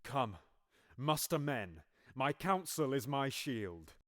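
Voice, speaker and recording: A man's voice: mid-range voice, big pitch swings, slow pace, fairly bright tone, no echo, clean studio sound. A man playing a ruthless, scheming king in an audio drama, mocking and sly, moving from murmurs to gleeful outbursts. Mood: commanding. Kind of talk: Shakespearean audio drama acting.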